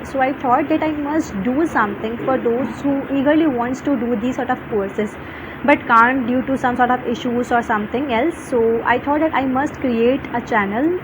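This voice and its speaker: An earnest woman with a Pakistani accent introduces her online English course, working through lists and pausing on frequent fillers. Her voice sits a little high. A steady hum of background noise runs under the recording. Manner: earnest, many fillers, list-like delivery.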